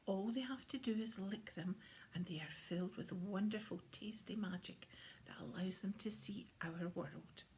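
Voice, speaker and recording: A merry old Santa, put on by a woman reader chats proudly and explains things in a children's storybook. The voice stays at a medium female pitch, over faint background noise. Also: normal speed, darkish tone, close to an everyday voice. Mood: explaining.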